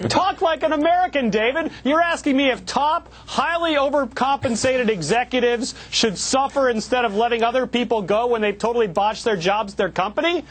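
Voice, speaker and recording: A man joining a business news panel as a contributor, high-pitched and quick to tease. His talk is joking and animated. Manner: teasing, animated. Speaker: contributor in Silicon Valley.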